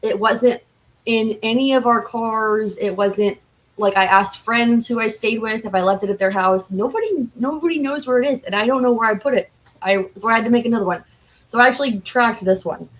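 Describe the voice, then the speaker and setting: A woman's voice: on the high side, brisk, muffled recording. Enthusiastic woman chatting casually. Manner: enthusiastic, informal. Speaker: podcast guest, cosplayer. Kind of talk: casual chat.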